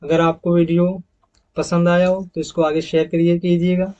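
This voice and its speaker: A man's voice: high voice, level tone, dark tone. Indian man teaching pronunciation, dull recording. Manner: repeats model words slowly, addresses viewers as friends. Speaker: English teacher. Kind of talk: phonetics lesson over slides.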